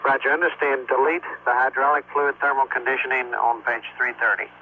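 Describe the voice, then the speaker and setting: A man's voice: mid-range voice, steady tone, a little fast. A man speaking as a spacecraft commander in clipped procedural replies over the radio. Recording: tinny space radio link, faint noise.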